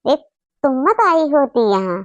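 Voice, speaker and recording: Woman acting as middle-aged mother-in-law, high-pitched, slow-paced, bossy, doting, fussing, comedy cartoon. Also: very animated, dry recording, lo-fi sound. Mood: scolding. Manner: fussing, commanding.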